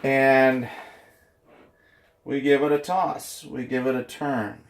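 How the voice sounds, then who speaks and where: A man's voice: mid-range voice, flat delivery, slow. A man hosting a casual cooking video in a home kitchen, joking and chatty. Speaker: cooking vlog host.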